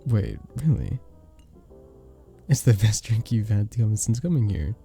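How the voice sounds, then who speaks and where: A very dark, mellow voice with a gravelly creak and lively rises and dips. It is a charming, sweet vampire bartender, acted out by a man, soft-spoken and courteous in the formal way of an audio roleplay. He sounds modest and gentle throughout. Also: lowish voice, a little slow, faint music behind. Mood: flattered, modest. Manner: gentle, polite, slightly formal, bashful chuckles.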